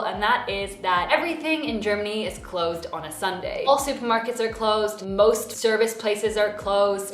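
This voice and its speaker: A young woman from Belgium talking casually and frankly to camera in a vlog, mildly indignant at times. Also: mid-range voice. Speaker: vlogger. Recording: roomy indoor echo.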